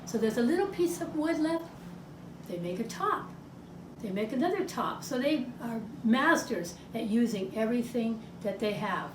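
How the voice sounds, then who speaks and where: A woman's voice: on the high side, expressive, moderate pace. A woman co-presenting a demonstration, explaining things in an informal, conversational way. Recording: echoey room with clear background noise.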